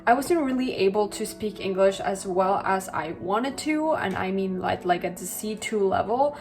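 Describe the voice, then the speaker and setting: Young Colombian woman, Spanish-native, chatty vlogger talking to camera, mid-pitched, faint music underneath. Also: lively intonation, normal speed, a little mellow. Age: 20s. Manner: chatty, many likes and you-knows. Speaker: language vlogger. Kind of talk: casual chat to camera.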